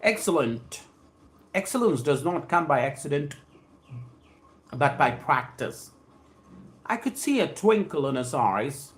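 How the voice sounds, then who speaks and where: A man's voice: a little high, very animated, measured pace, long pauses. South Indian man, formal live English trainer. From Andhra Pradesh, India. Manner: effusive, formal, repeats phrases and stock idioms. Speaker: spoken English trainer hosting a live stream. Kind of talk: live English lesson, reading aloud and addressing viewers.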